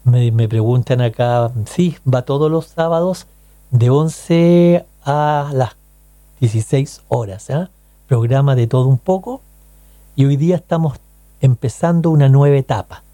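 A man's voice: mid-range voice, measured pace, mellow, no room sound. A man with a Chilean accent hosting a casual radio show, chatting to listeners with rhetorical questions and frequent check-ins. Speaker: radio host.

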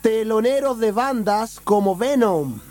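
A Chilean man with a hoarse, worn throat, presenting a music segment on radio in casual, sweary slang. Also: high-pitched, animated, unhurried, darkish tone. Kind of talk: casual music radio chat with band introductions.

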